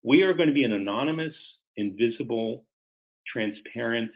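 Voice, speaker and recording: A middle-aged or older man, a company executive, speaking earnestly and deliberately to investors on a conference call, formal but halting, often repeating words. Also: mid-range voice, lively intonation. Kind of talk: semi-scripted remarks to investors on a conference call. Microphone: conference call line. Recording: dull, lo-fi conference call audio.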